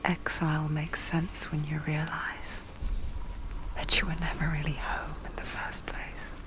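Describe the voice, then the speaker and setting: A woman voicing a hushed, ominous narrator in a dark fantasy game, confiding slowly and deliberately in a breathy whisper. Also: low voice, little pitch movement, low-quality recording.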